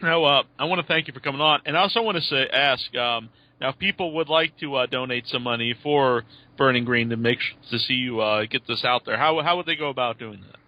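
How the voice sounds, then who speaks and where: A man hosts a call-in show, halting and full of uhs as he puts his questions. the sound is muffled and dry. his pitch is moderate. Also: no room sound. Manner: hesitant, with fillers. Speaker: radio show host.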